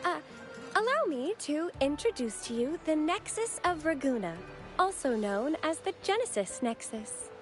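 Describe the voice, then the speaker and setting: A gentle, devout young woman of a holy order in a game. Her voice is very high and lilting, with courteous, formal phrasing over background music. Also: big pitch swings, normal speed. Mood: helpful, formal. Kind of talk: video game voice acting.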